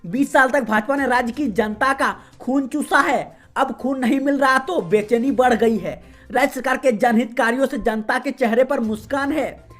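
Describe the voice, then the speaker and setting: A woman reading the day's regional news as a host, in a steady, formal newsreader delivery. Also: sits high, normal speed. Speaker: news reader. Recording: faint music behind voice.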